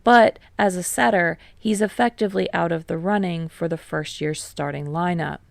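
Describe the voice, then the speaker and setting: A woman narrates a work of fiction in a low-pitched voice with a gravelly creak. The sound is close and dry, like a scripted audiobook read. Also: normal speed, no echo.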